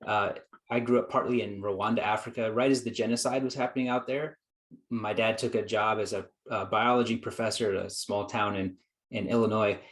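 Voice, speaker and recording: A man's voice: fairly low pitch, steady tone, a little fast. A man, a psychologist guest, telling his story in an earnest, reflective way, acting out his own past thoughts. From United States. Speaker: guest psychologist and productivity coach. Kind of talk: conversational storytelling.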